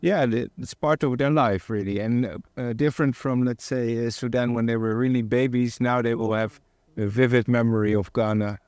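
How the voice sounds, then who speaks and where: A man in his forties with a Dutch accent, talking casually as a diplomat guest, in measured, hesitant phrases. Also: medium pitch, fairly even intonation, a little mellow, clear, resonant tone, no echo. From Amsterdam, Netherlands. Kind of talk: casual interview conversation. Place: outdoor terrace set.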